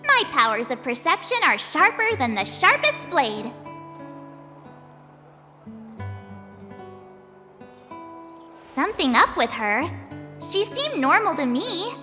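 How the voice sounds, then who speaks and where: A woman's voice: sits high, big pitch swings, a little slow, bright, forceful tone, big-room sound, soft background music, full character voice. An actress playing a bubbly, cheeky teenage adventurer girl in a video game, with a breezy, slangy, dramatic delivery. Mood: breezy, defensive. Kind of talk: English video game voice acting.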